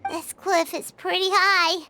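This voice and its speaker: A woman voicing a tiny, chirpy, childlike flying girl companion in an anime-style video game, squeaky and curious, speaking in exclamations. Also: flat delivery, measured pace, sharp tone, a little hiss or hum, heavily acted. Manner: exclamatory, refers to herself by name.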